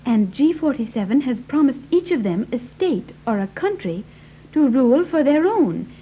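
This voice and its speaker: A woman's voice: high voice, big pitch swings, normal speed, slight background noise. A woman playing Joan, a precocious, formal young girl raised by scientists, in a 1930s radio serial. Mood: matter-of-fact, grave. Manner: precise, formal diction. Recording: muffled, tinny 1930s radio recording.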